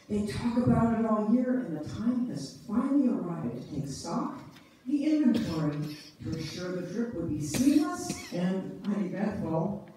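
A woman's voice: medium pitch, dark tone. A woman reading scripted narration as a readers theater narrator, heard from the audience in a church. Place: church sanctuary, before an audience. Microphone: far camera among the audience. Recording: echoey, distant church hall, faint noise.

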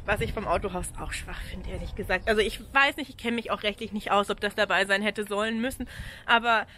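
A woman's voice: medium pitch, a little fast, pushed and bright. A woman in her forties with an Austrian accent, talking casually and frankly to the camera in a camper van, with frequent ums and small laughs. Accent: Austrian German. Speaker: vlogger. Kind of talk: casual vlog walkthrough. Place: in and around a camper van outdoors. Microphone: action camera held at arm's length.

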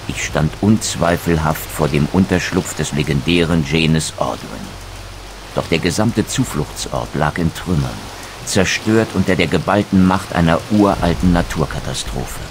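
A man's voice: rumbling low, noisy background. A man playing a brooding, proud undead wraith in a video game, speaking eloquently and theatrically with sardonic bitterness. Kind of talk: dramatic game dialogue and scripted narration.

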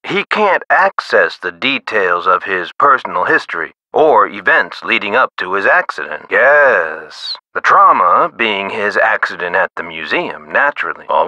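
Animated, bright-voiced eccentric male nurse. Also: fairly low pitch, no echo, full character voice, low-quality recording. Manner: theatrical, whimsical, swooping emphasis. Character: chatty, eccentric, mellow-minded male hospital nurse. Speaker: nurse character in an adventure game. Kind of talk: video game character dialogue, explanatory.

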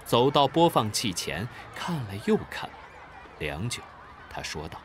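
A man narrating a children's audio drama in a calm storytelling manner. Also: medium pitch, measured pace, a little hiss or hum.

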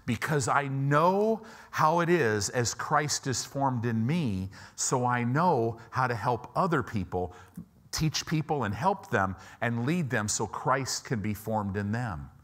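Male pastor, 50s, American, medium pitch. Conversational, emphatic preaching on a church stage with a lapel mic. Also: lively intonation, slow, fairly bright tone. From United States, Chicago and Southern California. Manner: emphatic, repeats phrases for stress, rhetorical check-ins. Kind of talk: conversational sermon. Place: church auditorium stage, indoors.

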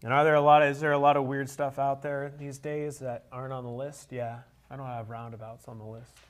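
A man in his thirties teaching a motorcycle cornering class in a conference room, energetic and joking, picked up by a camera across the room. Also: mid-range voice, fairly quick. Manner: energetic, joking, talks with hands at a whiteboard. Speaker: riding coach. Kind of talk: classroom motorcycle clinic lecture. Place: conference room with a group around tables. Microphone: camera at the back of the room, no visible mic.

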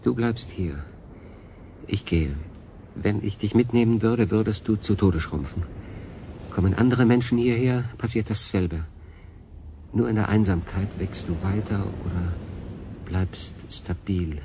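An actor playing an earnest, brooding stranded man in a science fiction radio drama, speaking in a deliberate, probing way. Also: lowish voice, dark tone, a little hiss or hum. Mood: troubled, insistent.

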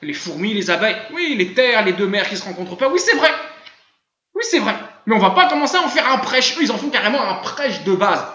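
A man with an Arabic-tinged French accent giving a live online religious lesson, animated, firing off rhetorical questions and chuckling as he goes. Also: sits high, a little fast. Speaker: religious teacher. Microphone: voice chat room. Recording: roomy, dull lo-fi voice-chat audio.

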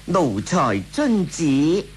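Fawning, swooping Cantonese court eunuch. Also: male voice, fairly high pitch, very animated, heavily acted, rough recording. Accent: Hong Kong Cantonese. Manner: obsequious, drawn-out and swooping. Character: fawning, scheming male court eunuch commander. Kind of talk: radio drama acting. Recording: radio drama with faint music.

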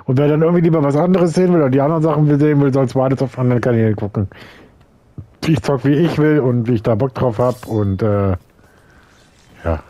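A man's voice: mid-range voice, quick pace, dark tone, no echo. A man in his thirties from Germany giving rambling, offhand commentary while playing a story game. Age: 30s. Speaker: let's player. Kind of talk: casual gameplay commentary.

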